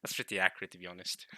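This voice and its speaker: A man's voice: fairly low pitch, pushed and bright, no room sound, studio quality. A man from Norway hosting a casual reaction video, rambling and cutting himself off, laughing often.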